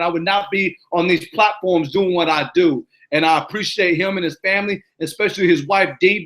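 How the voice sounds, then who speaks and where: A man's voice: high-pitched, fairly bright tone. A man with a New York accent hosting a live motivational Q and A, talking emphatically and repeating himself. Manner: emphatic, repetitive, preachy, keeps asking "you know what I'm saying". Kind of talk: live-stream Q and A monologue. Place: living in Arizona.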